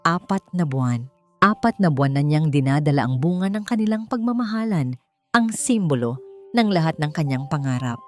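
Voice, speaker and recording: A woman's voice: deep voice, animated, normal speed, a little mellow. A woman with a Filipino accent narrating a dramatic romance story as an audiobook. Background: background music.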